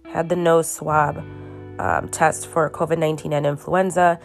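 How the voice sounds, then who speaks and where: A low-voiced woman hosts a solo podcast while sick, sounding tired and congested. She talks slowly and haltingly, restarting sentences and filling gaps with ums. Faint guitar music plays underneath. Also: level tone, vocal fry. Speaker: podcast host. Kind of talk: casual solo podcast monologue. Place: her bedroom at home.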